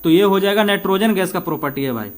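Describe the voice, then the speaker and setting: A man's voice: high voice, fast. A man works through practice questions as a coaching teacher. He is informal and conversational, putting questions to the listener and answering them himself, and he slips between Hindi and English. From India.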